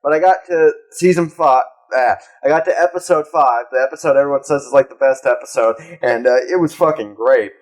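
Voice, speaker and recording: Young male podcast co-host, laid-back and rambling, casual swearing, mid-pitched voice. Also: fairly quick, hardly any pauses. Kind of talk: casual chat.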